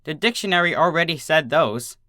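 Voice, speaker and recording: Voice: mid-range voice, animated, pushed and bright, no room sound, clean studio sound, voice pushed up. A storybook reader voicing a young schoolgirl pony filly, earnest and easily bewildered. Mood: assured. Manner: halting, mumbling when flustered. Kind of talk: storybook character voice in fan fiction reading.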